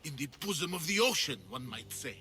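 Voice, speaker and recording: An actor playing an anxious, self-important ancient Greek sculptor in a video game, with a theatrical, effusive delivery. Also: male voice, on the high side, lively intonation, fairly quick, pushed and bright. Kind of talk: video game character acting.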